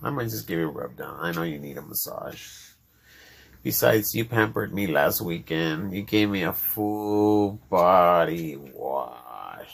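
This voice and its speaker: Man, Mexican accent, casual chat, rambling, gravelly, low-pitched. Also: animated, unhurried. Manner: rambling, addresses listeners directly. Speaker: podcast host.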